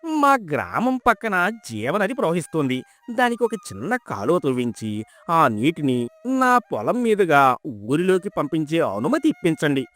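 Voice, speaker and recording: A man's voice: on the high side, very animated, normal speed, fairly bright tone, faint music behind. A man playing a sly, greedy, cowardly village headman in a folk tale, ingratiating and easily flustered. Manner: ingratiating, flustered when challenged. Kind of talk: dramatised folk-tale acting.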